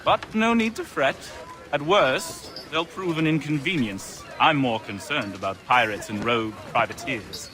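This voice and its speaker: An actor playing a dutiful, reassuring sailor in a video game, polite, with old-fashioned nautical wording. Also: male voice, medium pitch, a little slow, natural voice. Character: dutiful, reassuring male sailor of a merchant ship. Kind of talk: dramatic video game voice acting.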